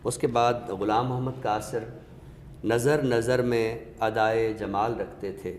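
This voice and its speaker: A man with a Pakistani accent, giving a literary talk with recited verse in a measured, explanatory way with pauses. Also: medium pitch, steady tone. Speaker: host of an Urdu literature show. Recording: echoey reverberant room, faint noise.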